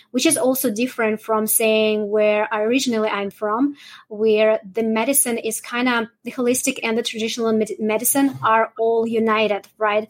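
Woman, slightly high voice, rambling, tag questions, interview guest. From Russia. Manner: rambling, frequent "right?" tags, light laughs. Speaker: guest, holistic nutritionist. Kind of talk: casual interview answers.

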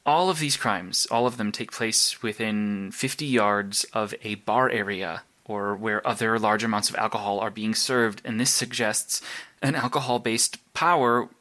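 An actor playing a nervous, earnest superhero investigator in an audio drama, speaking in a halting, analytical way. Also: male voice, lowish voice, pushed and bright. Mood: urgent, pleading. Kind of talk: naturalistic audio drama monologue and phone calls.

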